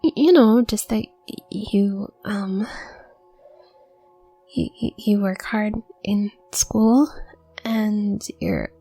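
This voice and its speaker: A woman's voice: mid-range voice, very slow, gravelly, pauses often, mellow, husky, faint music behind, heavily acted. A woman voicing a shy, flustered young student witch who stutters nervously and trails off in an intimate audio roleplay. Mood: admiring, flustered. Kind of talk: intimate audio roleplay, soft-spoken acting.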